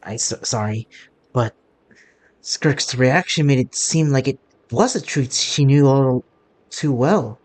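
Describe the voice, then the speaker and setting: A woman's voice: a bass voice, expressive, slow, darkish tone. A woman streaming a story game, commenting and reacting casually as she plays.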